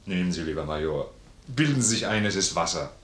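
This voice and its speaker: Casting: hearty, stubborn old-comrade sergeant, lowish voice, blunt and earnest, vintage radio drama in echoey studio. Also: male voice, animated, brisk, a bit bright. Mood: earnest, insistent. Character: loyal, plain-spoken, stubbornly generous sergeant, an old comrade. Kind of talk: 1950s German radio play acting.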